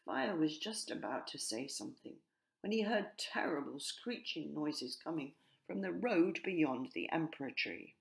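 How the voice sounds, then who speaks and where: Woman reading a kids story, low voice with some creak, slow and expressive. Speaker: author narrating her own story. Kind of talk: scripted children's story narration.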